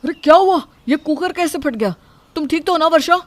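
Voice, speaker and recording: An expressive young husband, played by a man in a moral story. He loves his food and is quick to question and complain, yet he stays caring. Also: very high-pitched. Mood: alarmed. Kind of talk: acted dialogue in a Hindi moral story.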